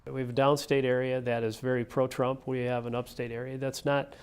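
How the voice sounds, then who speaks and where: Flat, gravelly but clear man. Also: medium pitch, a little fast. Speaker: political party chairman. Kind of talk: interview answer.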